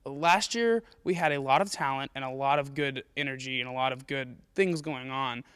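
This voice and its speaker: A man's voice: mid-range voice, moderate pace, a bit bright, clear, resonant tone, no echo, studio quality. An earnest young man, a student actor, giving casual interview answers. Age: young adult. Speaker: high school student actor.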